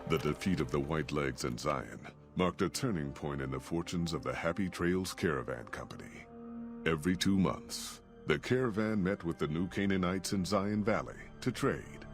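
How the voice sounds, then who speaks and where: A man narrating a game epilogue in a steady, storytelling way, over game music. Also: sits low, moderate pace.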